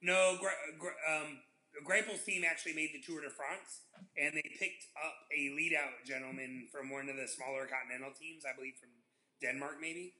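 Podcast co-host, man with a sharp bright nasal voice, joking, halting delivery. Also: a little high, fairly even intonation, poor audio. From Southeast United States. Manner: rambling, halting with restarts and joking asides. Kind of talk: casual sports chat.

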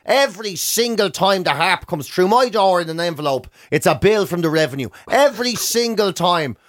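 A man's voice: high voice, expressive, moderate pace. An Irish man with a Dublin accent, co-hosting a breakfast radio show with comic, exaggerated ranting stories and banter.

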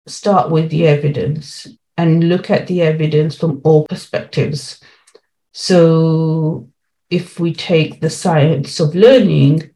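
A woman's voice: sits low, warm tone, rough recording. A woman speaking as an interview guest, earnest and emphatic, repeating key phrases to make her point. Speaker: interview guest, education policy lead. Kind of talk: spoken interview answers.

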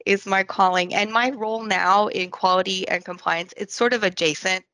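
A woman's voice: medium pitch, fairly even intonation, normal speed, a bit bright, no room sound. A woman in her thirties, born in Scotland and raised in France, talking casually as a podcast guest, with many ums and restarts. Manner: chatty, many ums and restarts, laughs easily. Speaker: podcast guest, quality and compliance manager. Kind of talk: casual interview talk.

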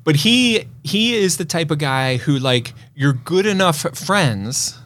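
A man's voice: on the high side, lively intonation, takes it slowly, a bit bright. A man co-hosting a podcast in casual chat, with a rambling, conversational way of talking. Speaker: podcast co-host.

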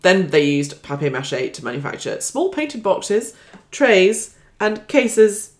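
A woman's voice: medium pitch, sing-song, slow pace. A woman hosting a podcast in a jokey, giggly way, chatting casually and sometimes reading facts aloud. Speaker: podcast host.

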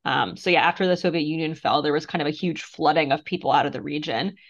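A woman in her thirties from Moldova, a guest author talking thoughtfully in an interview with soft laughs and fillers. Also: low voice, fast talker. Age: 30s. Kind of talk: casual interview conversation. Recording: muffled lo-fi sound.

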